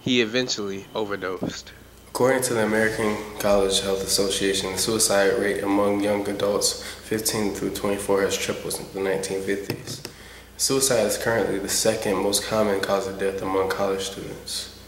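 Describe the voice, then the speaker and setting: Flat-toned male narrator, echoey room. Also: fairly low pitch, normal speed. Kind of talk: scripted educational narration. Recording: echoey room, faint noise.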